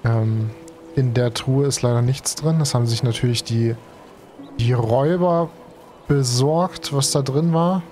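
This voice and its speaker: A man's voice: medium pitch, measured pace, mellow, soft background music. A young man from Germany, a gaming streamer with a cold and tired, talking casually and slangily through a let's play with many fillers. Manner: chatty, slangy, thinks aloud with many fillers. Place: home streaming room. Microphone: headset.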